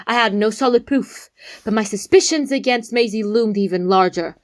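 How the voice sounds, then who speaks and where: A woman's voice: a little high, moderate pace, lightly acted. A woman playing an earnest young amateur detective, explaining a case theatrically in an audio drama. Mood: dramatic, confident.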